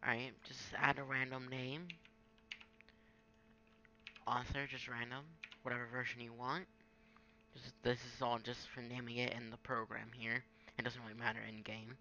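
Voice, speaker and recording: Creaky, bright-voiced young man, offhand tutorial. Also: medium pitch, completely flat delivery, moderate pace, muffled recording, slight background noise. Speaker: gaming tutorial maker. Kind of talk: casual screen-recorded software tutorial. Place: indoors at a home computer.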